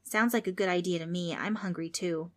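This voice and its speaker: Young girl, sharp and unimpressed, voiced by a woman narrator; higher and brighter than the narration. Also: steady tone, a little fast, natural voice. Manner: blunt, matter-of-fact. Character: sharp-tongued young girl, blunt and practical.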